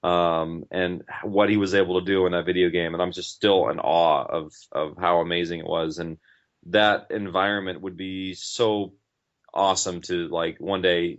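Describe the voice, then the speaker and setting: A man talking casually as an interview guest, in an informal, rambling and enthusiastic way. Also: low voice. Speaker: interview guest, podcast writer and director. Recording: dull, lo-fi audio.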